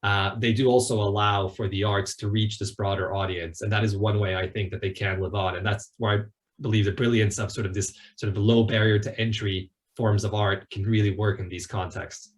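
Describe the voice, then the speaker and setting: A man with a fairly low voice speaks on an online panel. He reasons aloud in an academic way, qualifying his points as he goes. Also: level tone, fairly quick. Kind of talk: thoughtful webinar panel discussion. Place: online video call.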